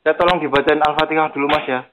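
A slightly high-voiced man on a prank phone call, polite and pleading. He presses his requests insistently. Faint voices sit behind him. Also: fairly even intonation, racing, a crowd in the background. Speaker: prank caller. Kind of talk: prank phone call, playing a grateful victim.

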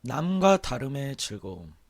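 Hoarse, husky-voiced male streamer. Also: mid-range voice, very animated, a little slow. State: sore, hoarse throat with throat clearing. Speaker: game streamer. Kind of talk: casual livestream chat, reading aloud and commenting.

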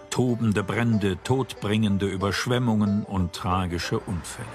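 Deep-ish guy doing dramatic documentary narration, measured, music underneath. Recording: music behind the voice. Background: background music.

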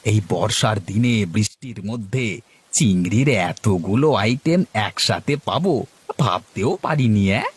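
A man voicing a jolly, food-loving middle-aged customer in a cartoon who exclaims loudly and savours every bite. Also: fairly low pitch, expressive, unhurried, slightly rough. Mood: delighted.

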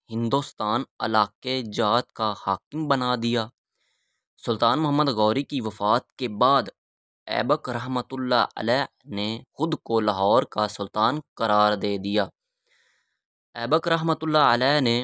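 A man narrating a historical walking tour in a measured, reverent, halting way, phrase by phrase. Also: mid-range voice, a bit bright, clear, resonant tone, no echo, studio quality. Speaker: vlogger and guide.

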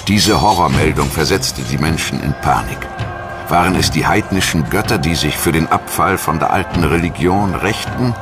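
Male documentary narrator, extremely low voice, scripted delivery, music underneath. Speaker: documentary narrator and voice-over translator.

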